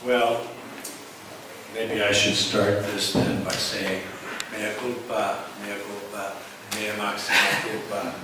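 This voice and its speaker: A man's voice: lowish voice, little pitch movement, takes it slowly. Wry man speaking from afar in a hall. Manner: wry, self-deprecating, fluent. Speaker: journal editor, former professor. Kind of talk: conference panel remarks. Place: conference room. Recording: echoey hall, distant mic, noisy.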